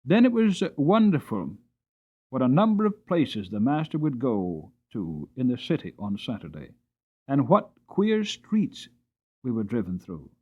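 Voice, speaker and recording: Animated man reading a storybook. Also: medium pitch, measured pace, husky, narrow, tinny audio. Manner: expressive, theatrical emphasis. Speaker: audiobook narrator. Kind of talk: dramatic storybook narration.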